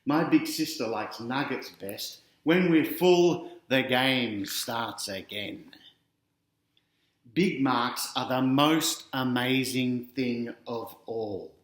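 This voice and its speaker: A man's voice: mid-range voice, slow. Echoey Australian man reading to children. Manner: chatty, directly addressing young listeners. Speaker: author reading his picture book aloud. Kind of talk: children's storybook reading with casual asides.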